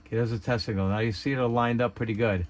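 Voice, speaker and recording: A man's voice: medium pitch, steady tone, fast talker. A man giving a casual hands-on tutorial, thinking aloud in an unpolished, hesitant way. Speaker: hobbyist demonstrator.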